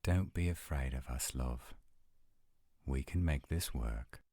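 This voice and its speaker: A man playing an adult male werewolf lover in a romantic roleplay audio, speaking in an intimate, measured, coaxing and reassuring way. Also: rumbling low, dark tone, no room sound, studio quality, exaggerated voice. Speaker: voice actor.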